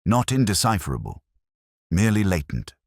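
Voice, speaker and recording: A man voicing a brisk, precise, keenly observant detective who lectures in an audiobook story. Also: low-pitched, big pitch swings, vocal fry, dry recording, studio quality, voice pushed up, heavily acted. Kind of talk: audiobook character dialogue.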